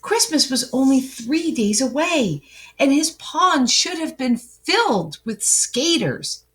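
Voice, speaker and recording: A woman reading a children's picture book aloud as a storyteller, expressively and with deliberate phrasing. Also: sits high, big pitch swings, fairly bright tone.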